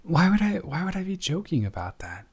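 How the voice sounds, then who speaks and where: A man playing a teasing, affectionate young roommate who gets easily flustered, speaking haltingly and conversationally in an intimate improvised audio roleplay. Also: fairly high pitch, big pitch swings, breathy edge. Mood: earnest, exasperated. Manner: halting, conversational, self-correcting.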